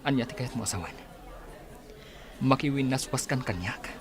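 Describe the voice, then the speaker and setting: A man's voice: mid-range voice, normal speed, background noise, close to an everyday voice. A Filipino man acting an earnest, affectionate character in a radio drama. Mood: earnest, pleading.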